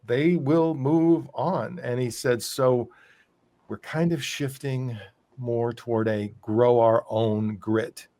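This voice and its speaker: A man's voice: medium pitch, steady tone, slow pace, mellow. A man hosting an interview podcast, asking long-winded questions and thinking aloud with restarts. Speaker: podcast host. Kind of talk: interview host chat.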